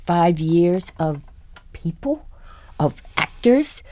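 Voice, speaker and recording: A woman, an actor, speaking gravely and haltingly as she reflects in a panel discussion. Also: lowish voice, big pitch swings, dark tone, no room sound.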